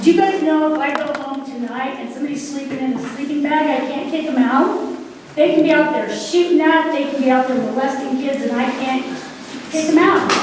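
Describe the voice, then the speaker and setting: Impassioned woman at the public mic, high voice, far off in a booming, noisy hall. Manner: emphatic, indignant, rambling. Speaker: public commenter. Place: large fairgrounds meeting hall.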